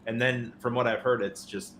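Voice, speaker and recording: A man's voice: medium pitch, fairly even intonation, moderate pace, a bit bright, a little hiss or hum. A man chatting casually as a panelist on a podcast.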